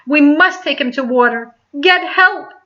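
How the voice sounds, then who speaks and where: Storybook boy played by a woman: worried, exclamatory, begging, pitched up and bright. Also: unhurried. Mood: urgent, alarmed. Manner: urgent, exclamatory, pleading. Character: anxious, pleading young boy with a pet fish. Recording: dull lo-fi sound.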